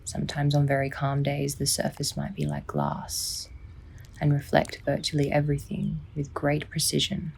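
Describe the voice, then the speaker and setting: A woman softly and soothingly narrating a guided sleep meditation, unhurried, with long pauses and a breathy, near-whispering delivery. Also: deep voice, very animated. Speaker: psychologist guiding a sleep meditation.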